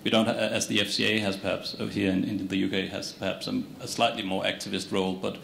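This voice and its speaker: A man's voice: low-pitched, flat delivery, fast, gravelly, a bit bright, a little hiss or hum. A man speaking as a regulator on a conference panel into a handheld mic, hedging with restarts. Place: large conference room with audience. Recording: echoey hall, faint ambience.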